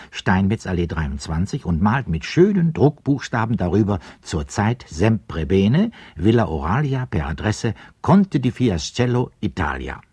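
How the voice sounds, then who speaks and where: A wry, fussy storyteller narrates a radio play. His voice is husky, with lively swoops in intonation. He relishes small details in a pedantic way. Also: mid-range voice, dry recording.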